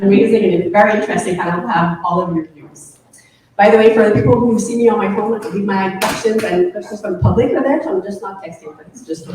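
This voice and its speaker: A woman's voice: mid-range voice, darkish tone. A woman moderating a live panel discussion in a friendly way, with frequent ums as she introduces the speakers. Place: event room with an audience. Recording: echoey room, distant mic, faint noise.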